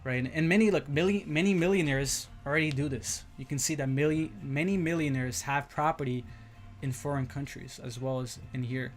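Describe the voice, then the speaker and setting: A man's voice: medium pitch, steady tone, brisk, soft background music. A man with an American accent talking casually and informally as a livestream host, repeating himself and often checking in with listeners. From Brazil, moved to the United States as a young child. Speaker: livestream host, crypto trader.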